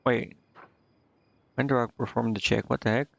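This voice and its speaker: A man's voice: medium pitch, steady tone, moderate pace, vocal fry, no echo, lo-fi sound. A man giving casual live gameplay commentary, muttering and thinking aloud with hesitation. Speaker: game streamer. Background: game music.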